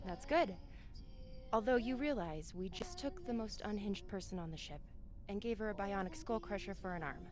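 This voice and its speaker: A woman's voice: mid-range voice, expressive, moderate pace, a little mellow, soft background music, lightly acted. An actress playing a sarcastic, cheeky woman crewmate in a sci-fi video game. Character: cheeky, sarcastic, foul-mouthed female space crewmate. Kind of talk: dramatic video game acting.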